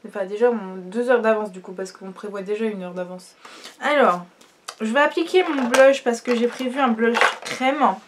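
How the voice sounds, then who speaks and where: Young woman vlogging her makeup, stuffy nose from a cold, kinda high voice, lots of ums, trails off. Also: moderate pace, noisy background. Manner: chatty, many fillers, trails off quietly. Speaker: beauty vlogger. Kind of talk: casual makeup tutorial chat.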